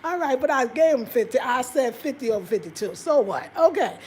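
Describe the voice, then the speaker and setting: An American woman in her fifties chatting casually and expressively to viewers, telling stories in an animated, conversational way. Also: high-pitched, a little fast, noisy background. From United States. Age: 50s. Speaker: vlogger. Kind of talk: casual livestream chat, storytelling to viewers.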